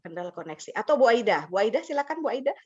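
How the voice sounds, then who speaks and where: Woman presenter, bubbly workshop leader, slightly high voice, lo-fi video-call sound. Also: mellow, slight background noise. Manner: chatty, mixes English words, laughs often. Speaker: webinar presenter for teachers. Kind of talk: lively online teacher-training talk. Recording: slightly dull lo-fi call audio.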